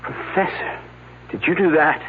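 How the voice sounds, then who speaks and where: A man's voice: a little high, unhurried, breathy edge. An actor playing a naive, flustered male research assistant in a radio mystery, hesitant and stammering as he protests. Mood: flustered, defensive. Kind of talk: 1970s radio mystery acting. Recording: noisy, narrow old radio broadcast.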